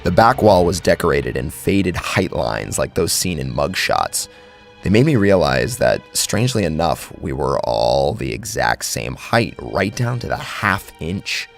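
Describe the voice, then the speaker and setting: A man's voice: extremely low pitch, sing-song, measured pace, vocal fry. A man narrating a scripted first-person horror story dramatically, close on the microphone. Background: background music.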